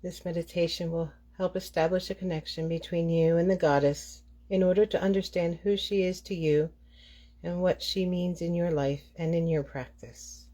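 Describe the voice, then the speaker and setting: A woman leading a guided meditation as the guide, speaking in deliberate phrases with frequent pauses. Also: fairly low pitch, steady tone, warm tone.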